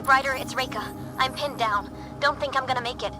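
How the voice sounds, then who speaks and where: A woman's voice: high-pitched, brisk, music in the background, close to an everyday voice. A woman playing an earnest, dignified alien expedition leader in a video game, formal and composed. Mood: grave resignation. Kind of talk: video game cutscene acting.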